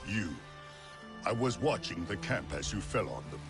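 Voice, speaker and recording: A man playing a proud, widowed alien hunter in a video game, gruff, blunt and brooding. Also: low voice, very animated, moderate pace, heavily acted. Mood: gruff, dismissive. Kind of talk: video game cutscene acting. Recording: music underneath.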